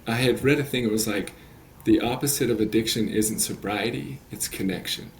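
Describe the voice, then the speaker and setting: A man's voice: on the low side, a little fast, a little hiss or hum. A man in his late thirties, a coach talking as a podcast guest, earnest and rambling with many fillers and restarts. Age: 30s. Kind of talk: podcast interview.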